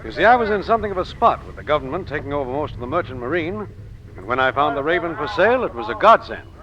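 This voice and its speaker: An actor playing a grave, uneasy ship owner in an old radio drama, speaking in a solemn, formal storytelling manner. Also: male voice, a little high, sing-song, quick pace, fairly bright tone. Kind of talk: 1940s radio drama acting. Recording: muffled, noisy old radio recording.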